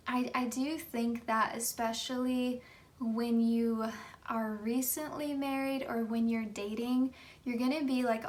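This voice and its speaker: A woman giving a pick-a-card tarot reading in a relaxed, chatty way, her voice a little hoarse from just waking up. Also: a little high, far from the mic. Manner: chatty, many fillers like "you know". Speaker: tarot reader.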